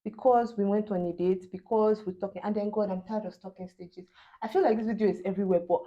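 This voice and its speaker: A young Nigerian woman with a Nigerian accent, Yoruba her first language, hosting a casual chat, animated, mixing in pidgin and laughing often. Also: medium pitch, quick pace, lo-fi sound. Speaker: host.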